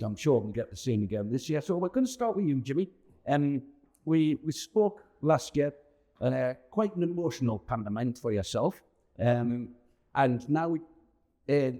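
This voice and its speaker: A man's voice: medium pitch, animated, normal speed, warm tone. A man, hosting an interview on location for a local channel. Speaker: host interviewer for a town TV channel.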